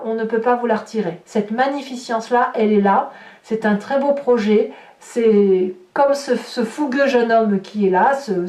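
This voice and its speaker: A woman's voice: fairly high pitch, darkish tone. A woman giving a tarot reading to her viewers, searching for her words and often repeating them. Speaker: tarot reader and host. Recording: roomy, echoing sound.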